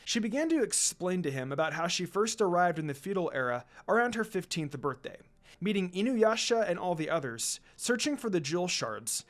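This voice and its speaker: A man reading fan fiction aloud in a dramatic storytelling style. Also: sits high.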